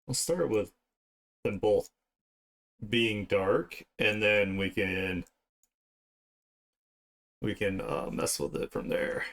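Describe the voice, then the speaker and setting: A man's voice: fairly low pitch, slow pace, pauses often, a bit bright. A man chatting casually on a livestream as he works, thinking aloud and often trailing off mid-thought. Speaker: streamer, digital artist. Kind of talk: casual livestream chat while drawing.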